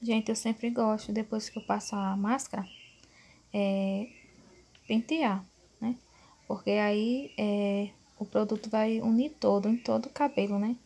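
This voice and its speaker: A woman in her thirties gives a relaxed at-home hair tutorial. Her voice is dark and husky, with a gravelly edge at the ends of phrases. She keeps turning to her viewers and checking that they follow. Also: mid-range voice, a little slow. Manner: chatty, addresses viewers as "gente", many fillers like "né". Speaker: beauty vlogger. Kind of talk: casual hair-care tutorial. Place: small bedroom at home, indoors.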